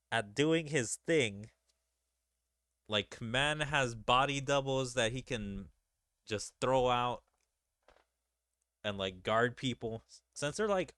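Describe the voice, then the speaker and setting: A man's voice: medium pitch, fairly bright tone, dry recording, studio quality. A man giving casual, slangy gaming commentary as a streamer. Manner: slangy, informal. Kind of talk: casual let's play commentary, reading game dialogue aloud.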